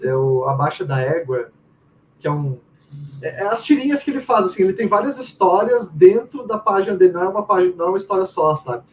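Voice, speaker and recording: A Brazilian man with a notably high, very lively voice talks informally as an interview guest, full of slang and quoted asides. He sounds set back from the microphone, with faint noise around him. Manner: informal, slangy, often quoting own thoughts. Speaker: game writer, interviewee. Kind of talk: casual chat, animated anecdotes. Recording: distant mic with faint room noise.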